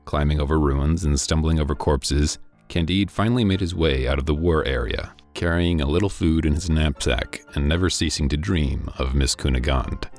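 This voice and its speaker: A man reading a classic novel aloud in scripted narration, with a measured, dry delivery. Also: extremely low pitch, soft background music. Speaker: audiobook reader.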